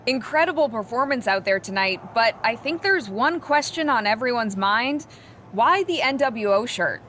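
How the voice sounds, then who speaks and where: Backstage interviewer, higher female voice, polished, probing, video game cutscene, slight noise. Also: animated, normal speed, fairly bright tone. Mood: curious.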